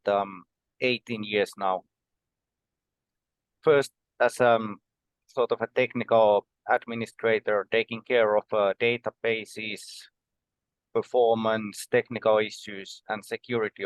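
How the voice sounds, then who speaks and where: A man in his forties from Finland, a podcast guest explaining things in a measured way, with many um and uh pauses. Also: on the low side, fairly even intonation, smooth, dry recording, rough recording. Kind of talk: conversational podcast interview.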